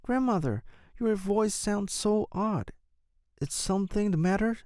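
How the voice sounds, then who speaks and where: A man voicing a sweet, innocent little girl in a fairy tale, in an earnest falsetto. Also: lively intonation, a little slow, a little mellow, no room sound, clean studio sound. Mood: puzzled.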